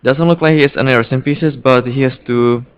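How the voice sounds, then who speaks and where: A man with a Czech accent casually commentating live on a card game, haltingly and often repeating his words. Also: medium pitch, steady tone, clear, resonant tone. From Czech Republic. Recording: dull, lo-fi stream audio.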